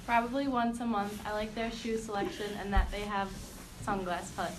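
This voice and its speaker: A 21-year-old woman gives short, plain focus group answers in a flat, even tone. Clear background noise runs under her voice. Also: medium pitch, moderate pace. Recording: noisy room recording.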